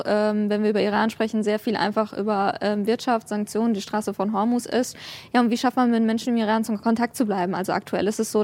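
A woman's voice: medium pitch, darkish tone. A woman from Germany answering as a journalist and activist in an interview, explaining things with frequent hesitant hums between clauses. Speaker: journalist and human rights activist, interview guest.

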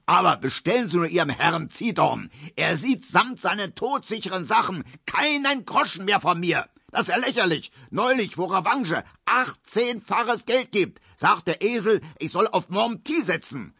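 A man reading a novel aloud, voicing a choleric middle-aged man who blusters and shouts about his betting losses. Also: sits high, very animated, normal speed, vocal fry, hardly any pauses, breathy edge, no room sound, clean studio sound, pitched above the performer's own voice, exaggerated voice. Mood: ranting. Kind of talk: radio book reading.

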